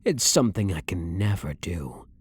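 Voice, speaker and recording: A blunt, brooding vampire hunter, acted by a man for an ASMR roleplay. The voice is very low and husky, with slow, measured phrasing that swings expressively in pitch. He sounds controlled and dominant, speaking softly to the listener. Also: lively intonation, no echo, full character voice. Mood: hungry, self-loathing. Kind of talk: ASMR roleplay, dramatic monologue.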